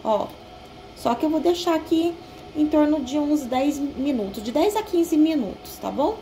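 A woman's voice: high voice, animated, a little slow, warm tone, poor audio. A Brazilian woman talking through a recipe in her home kitchen, explaining each step and checking in with her viewers. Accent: Brazilian Portuguese. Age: young adult. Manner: chatty, explains step by step with frequent "tá?" check-ins. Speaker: home cooking vlogger. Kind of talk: casual cooking tutorial.

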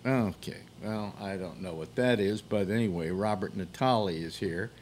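An older man hosting a casual call-in chat, halting and teasing, often repeating himself. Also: lowish voice, a little mellow, a little hiss or hum. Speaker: talk show host. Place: home room with bookshelves, indoors.

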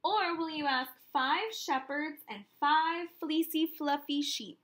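Lady teacher doing kids counting storytime, talks super slow, high sing-song voice. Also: a bit bright, a little hiss or hum. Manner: slow, encouraging, sing-song address to young children. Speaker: teacher reading aloud to young children. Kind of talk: children's read-aloud and counting lesson.

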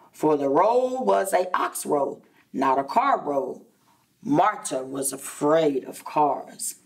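A middle-aged woman, a preschool teacher, reading a story aloud to young children in a deliberate, explanatory way with repeated phrases and asides. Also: on the low side. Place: indoor classroom. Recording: distant microphone.

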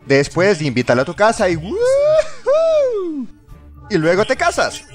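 Animated, high-pitched sarcastic man narrating. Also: unhurried. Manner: sarcastic, exaggerated, exclamatory. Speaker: fandub narrator. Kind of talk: scripted comedic parody narration. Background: music.

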